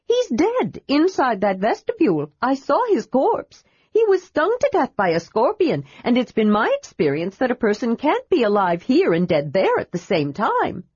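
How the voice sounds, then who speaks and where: Storybook kender: a small, excitable chatterbox, high and indignant, put on by a woman narrator. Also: normal speed, few pauses, slightly rough, dry recording, lo-fi sound, full character voice. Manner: high, eager, indignant outbursts.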